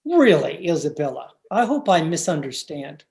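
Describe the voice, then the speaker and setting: A woman playing a haughty, disapproving, stuffy married gentleman in an old-time radio drama. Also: low-pitched, big pitch swings, moderate pace. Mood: haughty, dismissive.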